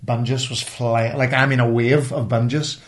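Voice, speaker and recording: A Northern Irish man with a medium-pitched voice chats as a podcast co-host. He tells everyday anecdotes in a colloquial, matey style, as if talking to a friend. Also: little pitch movement, unhurried. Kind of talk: casual chat and anecdotes.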